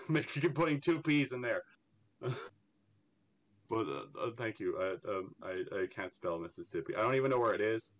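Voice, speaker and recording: A man's voice: on the low side, animated, moderate pace. A young man from Ireland with a slightly American-tinged Irish accent, chatting casually as an interview guest, rambling and stammering with frequent laughs. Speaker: artist guest.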